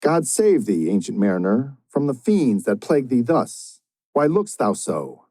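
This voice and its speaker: A man's voice: medium pitch, big pitch swings, unhurried, dark tone, dry recording, voice pushed up. A man voicing an impatient young male wedding guest in a dramatic poetry reading, speaking in a raised, pleading tone. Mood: alarmed.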